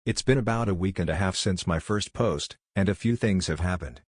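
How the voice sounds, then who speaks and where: A man with a very deep voice reads forum stories and their comments aloud. His delivery stays steady and even throughout, and the sound is dry and close, with no room echo. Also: brisk. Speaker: narrator reading forum posts. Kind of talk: scripted narration.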